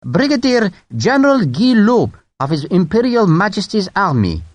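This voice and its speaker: A man's voice: sits high, wildly swooping pitch, normal speed, no echo, pitched above the performer's own voice. A man voicing a suave, menacing French brigadier of about forty, with a French accent lightly touched by Scottish, speaking mildly and courteously. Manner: mild, courteous, quietly charming.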